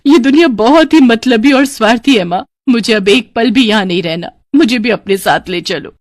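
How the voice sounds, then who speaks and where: A woman's voice: fairly high pitch, moderate pace, no echo, clean studio sound, voice pushed up, lightly acted. A woman voicing a kind, earnest young heroine of a fairy tale in an eager, girlish manner. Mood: sorrowful, pleading.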